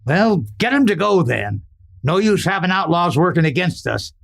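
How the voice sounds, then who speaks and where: A man plays a Western cattle boss in a radio play, high-pitched and very animated. He is stern, distrustful and commanding, with an American accent. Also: normal speed, gravelly, heavily acted. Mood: stern, determined. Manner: commanding, clipped orders. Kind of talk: old-time Western radio drama acting.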